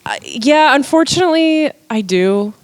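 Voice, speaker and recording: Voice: sits high, expressive, moderate pace, no echo, studio quality. A comedy panelist who laughs easily and chats freely.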